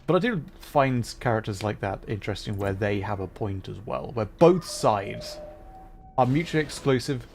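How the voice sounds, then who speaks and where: A man chatting casually on a livestream while commenting on his gameplay, often thinking aloud haltingly. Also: fairly low pitch, expressive, gravelly. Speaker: livestreamer playing a game. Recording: faint background noise, game ambience.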